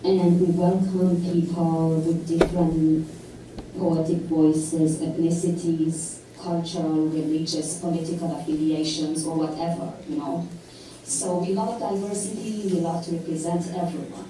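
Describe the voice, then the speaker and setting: A woman's voice: low-pitched, level tone, noisy background. Woman reciting poetry in an echoey hall. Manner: measured, deliberate recitation. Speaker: poet at a public reading. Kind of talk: slow recited poetry reading. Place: lecture hall. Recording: echoey hall, distant mic.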